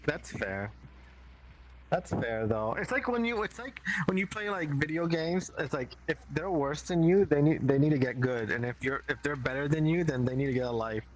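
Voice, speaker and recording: Giggly streamer man, slightly high voice. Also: animated, normal speed, slight background noise, low-quality recording. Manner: laughs often, rambling. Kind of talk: casual chat. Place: online voice chat.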